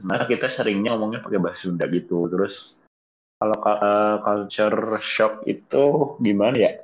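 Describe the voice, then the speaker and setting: A young man with a fairly low voice talks in a laid-back group chat. He pauses often, stalls on fillers, and gropes for the right words. The audio is muffled and lo-fi. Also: steady tone. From Bogor, Indonesia. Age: young adult. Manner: halting, searching for words. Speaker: student. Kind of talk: casual chat. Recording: dull lo-fi sound.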